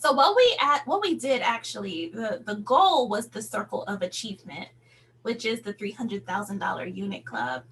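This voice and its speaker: A woman's voice: mid-range voice, lively intonation, moderate pace, a bit bright. A woman speaking as a sales director on a team call, giving a motivational talk in an encouraging, conversational and emphatic manner. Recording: noticeable background noise, hum.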